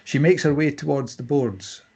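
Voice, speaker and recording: A man's voice: medium pitch, moderate pace, creaky, heavy background noise. A man reading scripted stage directions as narrator at a table read.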